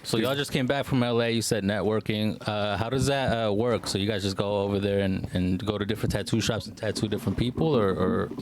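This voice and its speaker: A man with a lowish voice, asking a guest questions in a relaxed, slangy manner. A faint background noise runs under his voice. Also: quick pace, few pauses. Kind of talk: casual chat.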